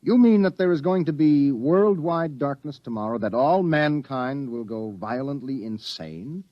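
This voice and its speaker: A man's voice: medium pitch, expressive, unhurried, no room sound, natural voice. An actor playing a skeptical, questioning young male reporter who is easily unnerved, performed in an old radio drama style. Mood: incredulous. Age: young adult. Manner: questioning, incredulous. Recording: dull lo-fi old radio recording.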